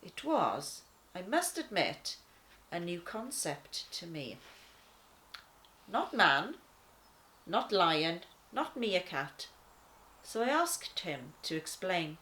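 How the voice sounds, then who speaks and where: A woman's voice: fairly low pitch, sing-song, slow, pauses often, bright, forceful tone, slight background noise, heavily acted. A woman narrating a children's storybook as a thoughtful, curious lion, wry and questioning. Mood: musing.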